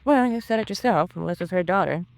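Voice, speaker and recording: A woman's voice: lowish voice, animated, fast talker, creaky, rough recording. An actress playing a nosy, gossipy, disapproving landlady in a 1950s radio crime drama, with folksy, chatty colloquial speech. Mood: confiding.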